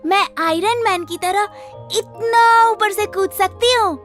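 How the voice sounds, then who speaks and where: A woman's voice: extremely high pitch, takes it slowly, a bit bright, exaggerated voice. A woman voicing an eager, daring little schoolgirl in a children's cartoon. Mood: boastful. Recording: music behind the voice.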